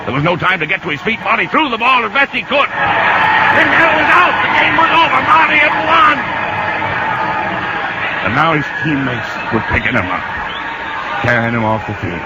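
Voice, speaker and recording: A man's voice: high voice, normal speed, long pauses, a loud crowd. An actor playing a folksy man who narrates a baseball story dramatically in a 1950s radio drama. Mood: reflective. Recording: noisy, muffled old radio recording.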